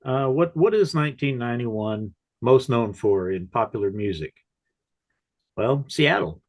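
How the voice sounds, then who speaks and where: A man's voice: medium pitch, animated, a little slow, darkish tone. A man co-hosting a casual music chat, tired from no sleep, with dry, self-mocking humor. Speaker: co-host.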